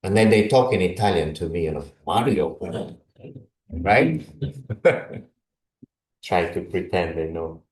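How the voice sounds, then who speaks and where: A man's voice: sits low, expressive, slow, long pauses, a little mellow. A Brazilian-born man chatting casually with a congregation before his sermon, laughing often and tagging "you know" and "right?" onto his sentences. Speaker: visiting preacher. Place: church hall. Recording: echoey hall, mic from a distance.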